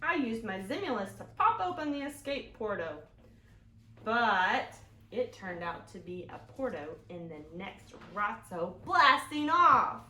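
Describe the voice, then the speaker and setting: Woman reading as an animated, excuse-making schoolboy. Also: a little high, full character voice. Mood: excited, dramatic. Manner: emphatic, drawn-out storybook delivery with made-up words. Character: earnest, excuse-making schoolboy telling a tall tale. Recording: echoey room, clear background noise.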